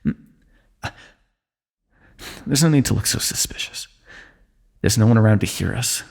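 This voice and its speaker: A man voicing a wry, wounded fantasy ruler who teases an old comrade in a calm, dry way, in an ASMR roleplay. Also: fairly low pitch, a little slow, creaky. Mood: dry teasing. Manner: dry, teasing, calm understatement.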